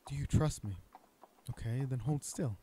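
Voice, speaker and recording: A man's voice: medium pitch, normal speed, dark tone, slight background noise, close to an everyday voice. A man playing an earnest royal knight and bodyguard in a romantic audio roleplay, speaking formally and hesitantly to his princess. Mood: urgent. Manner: earnest, hesitant, stammering, formal courtly address. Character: young male knight, protective royal bodyguard, earnest and flustered. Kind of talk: romantic audio roleplay acting, addressing the listener.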